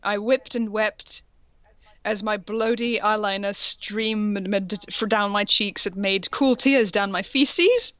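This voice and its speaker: A woman co-host reads a story aloud with mock drama and drops in sarcastic asides. Her voice sits at a middling pitch, captured very dry, with a slightly dull, lo-fi sound. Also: steady tone, slow, a bit bright, no room sound. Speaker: podcast co-host reading fan fiction aloud. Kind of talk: comedic dramatic reading with casual commentary.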